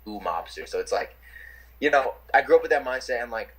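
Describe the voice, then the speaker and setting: Young new guy on a video call, kinda husky, lots of "like" and restarts. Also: medium pitch, expressive, fairly quick, a bit bright, rough recording. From New York City. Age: young adult. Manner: rambling, lots of fillers and restarts. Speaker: interviewee, rapper. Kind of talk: casual chat.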